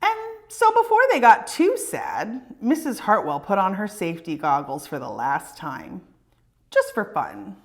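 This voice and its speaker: A woman reading a children's picture book aloud in an expressive, emphatic way meant for young children. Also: lowish voice, measured pace. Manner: animated, emphatic storytelling aimed at young children. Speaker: read-aloud presenter for elementary students.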